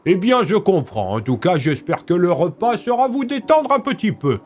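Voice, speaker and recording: Man acting a refined, smug schemer, lively intonation. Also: high-pitched, moderate pace, a little hiss or hum. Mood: courteous. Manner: urbane, courteous, smug. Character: refined, scheming male military leader, smoothly polite. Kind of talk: French audio fiction acting.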